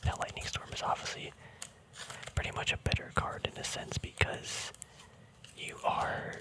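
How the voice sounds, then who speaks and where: A man speaking in a soft, unvoiced whisper, relaxed and chatty, hosting a casual ASMR walkthrough. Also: normal speed. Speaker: ASMR video host.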